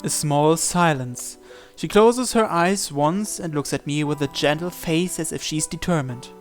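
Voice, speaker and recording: A man's voice: fairly high pitch, a little slow, soft background music. A man narrating a visual novel in the first person, reading from the script.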